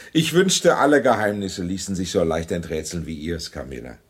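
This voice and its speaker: An actor playing a genteel, fussy, middle-aged general and father in a German radio drama, courtly and husky. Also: sits low, normal speed, heavily acted. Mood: genial reassurance. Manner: courtly, animated.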